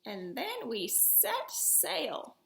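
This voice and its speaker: A woman reads a children's storybook aloud in a theatrical way. Her voice is very high and swings widely for dramatic emphasis. The room adds a noticeable echo. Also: wildly swooping pitch, extremely slow, gravelly, crowd noise. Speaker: storybook reader.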